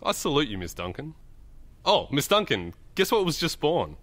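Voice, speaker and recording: A man's voice: fairly high pitch, very animated, normal speed, fairly bright tone, no room sound. A man acting a genial, patronizing doctor who lectures in an audio drama. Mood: jovial. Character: affable, lecturing male doctor, centuries old yet youthful. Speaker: actor.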